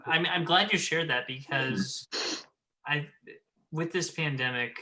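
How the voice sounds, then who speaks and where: Bright, forceful man in earnest discussion. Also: fairly high pitch, measured pace, poor audio. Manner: earnest, emphatic. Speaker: show panelist. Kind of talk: conversational panel discussion. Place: remote video call.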